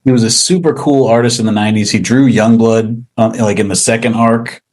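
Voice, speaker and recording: A man's voice: medium pitch, fairly even intonation, brisk, darkish tone, no room sound. A man speaking casually as an interview guest, rambling and self-deprecating. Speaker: interview guest, comic book writer.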